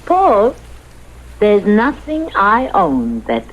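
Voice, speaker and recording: Radio-drama sweetheart: generous, insistent, very expressive swoops, faint background noise. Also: female voice, mid-range voice, drawn-out words, full character voice, rough recording. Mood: earnest, insistent. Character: devoted, generous, insistent woman in love. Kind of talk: 1970s radio drama acting.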